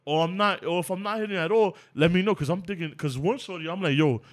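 A man in his late twenties with a fairly high voice talks quickly in casual slang. He is insistent, jumping in over others as a podcast panelist would. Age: late 20s. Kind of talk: casual heated group chat.

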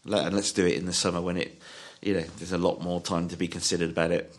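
A deep-voiced man talks football on a podcast panel. He speaks earnestly and hesitantly, filling his pauses with ums. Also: flat delivery. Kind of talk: casual football podcast chat.

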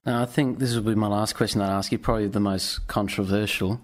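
A man's voice: fairly low pitch, fairly even intonation, fast talker. A man with an Australian accent hosting a podcast, putting questions and giving sign-offs in a presenter's style. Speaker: podcast host, interviewer. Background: music.

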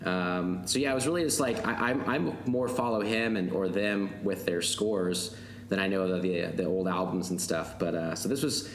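A man in his mid-thirties talking casually on a podcast, with a low voice and a faint hiss. He speaks hesitantly and leans on a lot of fillers, and his voice echoes around the room. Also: moderate pace. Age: 30s. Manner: hesitant, filler-heavy talker. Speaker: podcast co-host. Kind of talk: casual chat.

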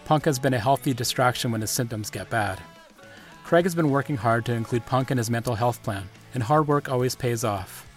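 A man with a middle-pitched voice hosts a podcast in a measured, earnest way. Faint music sometimes sits under his voice. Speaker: podcast host. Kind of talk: scripted podcast narration and interview questions.

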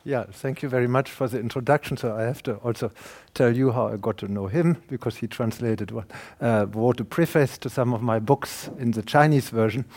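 A man with a German accent giving an earnest, explanatory public lecture, his voice swinging from quiet asides to emphatic points. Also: medium pitch, fairly quick. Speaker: guest lecturer.

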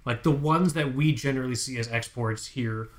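A man's voice: mid-range voice, normal speed. Male co-host, casual and profane, eager plug-the-site energy, mid-range voice. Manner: hyped, profane, plugging the website. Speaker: podcast co-host. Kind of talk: casual chat.

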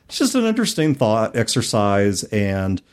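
Low-pitched man hosting a casual podcast. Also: sing-song, normal speed, clean tone. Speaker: podcast host. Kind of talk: casual tabletop gaming podcast talk.

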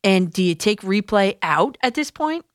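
A woman's voice: medium pitch, very animated, moderate pace, no echo, clean studio sound. A woman hosting a sports talk radio show, explaining her points conversationally with occasional restarts. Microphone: studio microphone.

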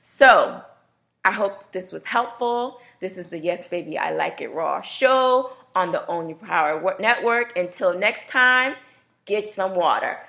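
A woman's voice: mid-range voice, expressive, a little slow, gravelly, bright, forceful tone. Chatty woman hosting a health radio show. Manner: chatty, laughs, voices others playfully. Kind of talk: casual radio talk.

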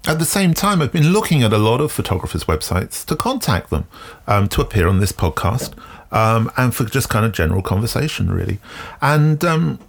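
A man hosting a podcast alone, talking reflectively in measured phrases with frequent pauses mid-sentence. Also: mid-range voice, sing-song, gravelly, breathy edge. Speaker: podcast host. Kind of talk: reflective solo podcast talk.

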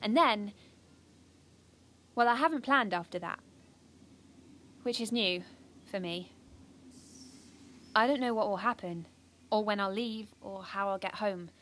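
A woman's voice: medium pitch, measured pace, pauses often, a bit bright, a little hiss or hum, close to an everyday voice. A British woman playing an earnest, driven teenage schoolgirl activist, confiding in the listener in an audio drama monologue. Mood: subdued, resolute.

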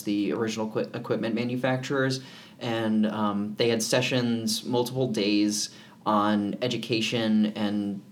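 A man with a fairly low voice co-hosts a casual podcast. He talks in an easygoing, rambling way, stopping to restart his sentences and filling the gaps with ums. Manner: relaxed, rambling with fillers and restarts. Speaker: podcast co-host.

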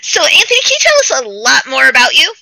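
Woman, show host, enthusiastic, high bright voice, dry. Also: sing-song, quick pace, creaky, no echo, low-quality recording. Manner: enthusiastic, gushing. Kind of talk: upbeat interview hosting.

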